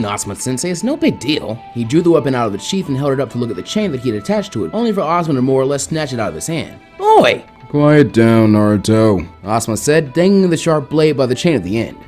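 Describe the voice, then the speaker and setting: A man's voice: medium pitch, darkish tone. A man reading a scripted story aloud as a fan fiction narrator.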